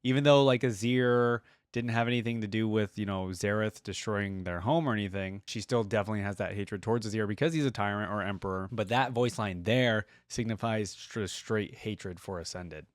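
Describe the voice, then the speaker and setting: A man's voice: lowish voice, brisk, few pauses, smooth, no echo, clean studio sound. A man giving casual, slangy reaction commentary as host of a video, chiming in with short appreciative remarks. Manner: informal, slangy, appreciative interjections.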